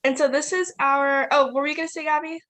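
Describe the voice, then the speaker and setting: A woman's voice: high voice, steady tone, fast talker, a bit bright. A nineteen-year-old woman chairing a youth group's video call, chatty and full of fillers. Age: young adult. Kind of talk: casual online meeting talk.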